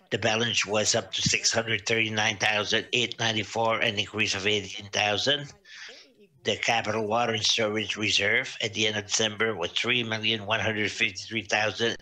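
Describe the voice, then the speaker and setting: A man presenting financial results to a council, reading out figures with many pauses and fillers. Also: lowish voice, pushed and bright, low-quality recording. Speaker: auditor presenting financial statements. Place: municipal council meeting over video link.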